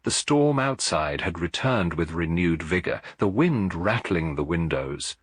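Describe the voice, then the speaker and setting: Low-pitched, husky male mystery narrator. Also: expressive, a little slow, dry recording. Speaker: audiobook narrator. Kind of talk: scripted mystery story narration.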